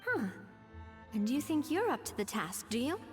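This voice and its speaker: Proud young amateur baker: high, perky, very lively pitch, game dialogue. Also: female voice, moderate pace, faint music behind. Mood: doubtful. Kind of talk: video game voice acting.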